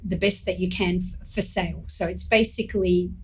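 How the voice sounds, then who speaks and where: A woman's voice: fairly low pitch, normal speed, slight background noise, rough recording. A middle-aged woman, a home stager and interior designer, talking casually in an interview and telling her story with animation. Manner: chatty storyteller, animated when recounting events.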